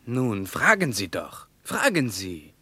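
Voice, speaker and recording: Dogmatic, animated Soviet Russian man. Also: on the low side, slow, breathy edge, no echo, heavily acted. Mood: firm. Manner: emphatic, lecturing, evasive under questioning. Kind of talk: mid-20th-century German radio play dialogue.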